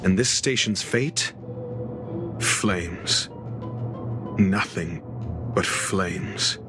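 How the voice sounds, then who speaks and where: An actor playing an erudite, haughty, fault-finding scholar in a video game, speaking with measured scorn and dramatic pauses. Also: male voice, low-pitched, over music. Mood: scornful, ominous. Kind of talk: scripted video game dialogue.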